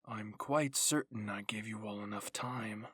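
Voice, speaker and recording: Man doing a stoic anime captain, lowered voice, cold, clipped, stern, dry close sound. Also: unhurried, a bit bright, slightly rough, no room sound. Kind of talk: character voice in a fan fiction reading.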